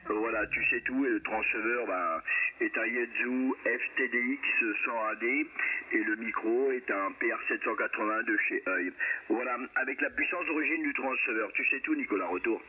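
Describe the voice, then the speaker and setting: A man's voice: mid-range voice, moderate pace. A man operating an amateur radio, chatting casually and repeating himself, heard through a radio transmission. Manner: repeats himself, frequent "hein" tags. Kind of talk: casual radio contact chat. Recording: narrow noisy radio link, static and interference. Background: radio static and interference.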